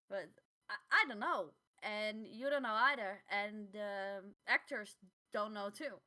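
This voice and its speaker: A woman co-hosting a podcast in casual chat, halting and repeating words as she finds her phrasing. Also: medium pitch, sharp tone. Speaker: podcast co-host.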